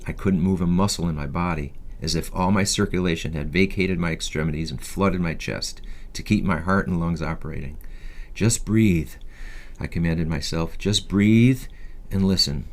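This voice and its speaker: Deep-voiced man reading his memoir. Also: moderate pace. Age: 50s. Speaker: author reading a personal memoir. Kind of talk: scripted first-person narration, audiobook reading.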